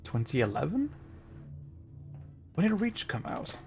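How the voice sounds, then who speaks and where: A man hosting a livestream, giving casual, halting gameplay commentary as he thinks aloud. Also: fairly high pitch, huge pitch swings, creaky, faint music behind. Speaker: livestream host playing a video game.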